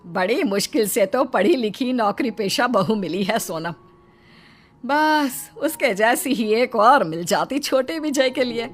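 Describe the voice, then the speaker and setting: A woman's voice: a little high, animated, unhurried, soft background music. A woman voicing an older mother-in-law in a cartoon, talking in a fretful, chatty way. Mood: worried. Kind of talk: cartoon voice acting.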